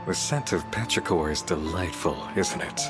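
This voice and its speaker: Man playing a deep-voiced, teasing demon detective. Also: normal speed, music in the background, lightly acted. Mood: languid, amused. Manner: teasing, theatrical, languid. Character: sly, playful male demon detective, condescending toward humans. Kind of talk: scripted visual novel voice acting.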